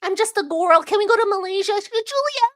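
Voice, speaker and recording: A woman's voice: very high voice, animated, fast, a bit bright, voice pushed up. A woman putting on a mocking voice of a whiny young wife pleading with her husband.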